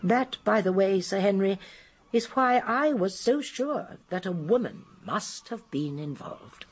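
A genteel old English lady detective in a British radio play. Her voice is husky and breathy and swings expressively as she lays out each point with precise, emphatic certainty. She is shrewd and gently indignant. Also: mid-range voice, lively intonation, a little slow. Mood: grave, explanatory. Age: older. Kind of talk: British radio drama acting.

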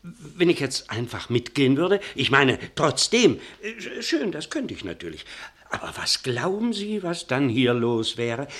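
An actor playing a meek, wavering middle-aged husband in a German radio play, hesitant, stammering and evasive. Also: male voice, medium pitch, sing-song, unhurried, a bit bright. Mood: defensive, flustered. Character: henpecked, wavering, meek husband, eager to agree.

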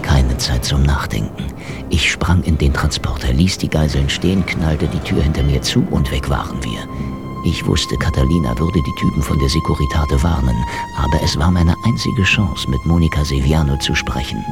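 A noir-like action hero telling his story. The voice is rock-bottom and creaky, clipped and deadpan, with prominent music underneath. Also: a bass voice, normal speed. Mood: terse, tense. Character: hardboiled male action hero narrating his own escapade. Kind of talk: noir-like first-person radio drama narration.

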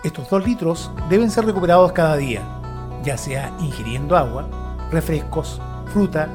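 A narrator reading a scripted, informative text in a measured documentary style. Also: medium pitch. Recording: music bed under the voice. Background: music.

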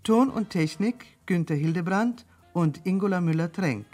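A woman reading radio play credits as a formal, measured, scripted announcement. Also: fairly low pitch, expressive, dark tone, faint music behind.

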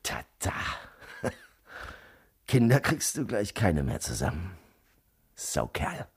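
An actor playing a menacing, jealous German army sergeant in a radio drama, barking threats with an Austrian-Bavarian accent. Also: male voice, on the low side, huge pitch swings, takes it slowly, background noise, full character voice. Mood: furious, taunting. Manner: barking orders, threatening, taunting.

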